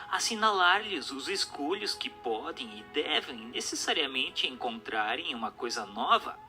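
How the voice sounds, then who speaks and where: A man reading scripted narration in a formal, measured, didactic way. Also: mid-range voice, sharp tone. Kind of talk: scripted audiobook-style narration. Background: music.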